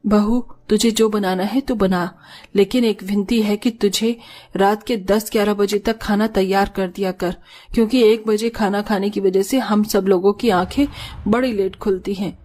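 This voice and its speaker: A woman voicing a patient, concerned middle-aged mother-in-law who reproaches gently in a moral story. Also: medium pitch, fairly even intonation, moderate pace, close to an everyday voice. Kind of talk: animated moral story dialogue.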